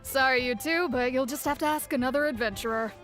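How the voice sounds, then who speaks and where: A woman's voice: sits high, fast talker, over loud music, close to an everyday voice. A woman voicing a breezy, no-nonsense adventurer guild master in a video game, wry and casual. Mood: wry, dismissive.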